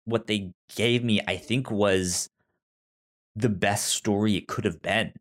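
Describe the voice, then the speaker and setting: A man co-hosting a podcast, chatting casually about films in a halting way, often repeating words and restarting. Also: on the low side, steady tone. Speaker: podcast co-host. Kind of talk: casual film review chat.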